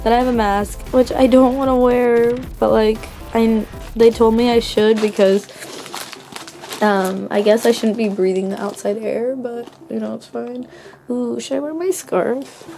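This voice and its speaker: A woman's voice: fairly high pitch, steady tone, measured pace, creaky, dark tone, soft background music. A young woman vlogging into her phone camera, chatty and giggly, full of ums and likes, her voice still recovering from a cold. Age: young adult. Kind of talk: casual vlog chat.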